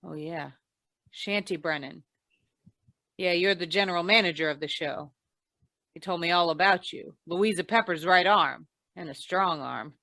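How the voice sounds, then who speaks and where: A woman playing a wry, deadpan female insurance investigator in old-time radio noir style. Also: on the low side, normal speed, creaky, dry recording. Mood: dry, probing. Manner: deadpan, wisecracking.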